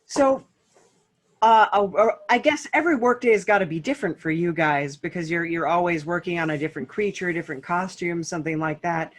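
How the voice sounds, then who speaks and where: A woman's voice: lowish voice, brisk. A woman hosting a podcast, putting loose, rambling questions to a panel of guests. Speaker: podcast host. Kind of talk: interviewing a panel.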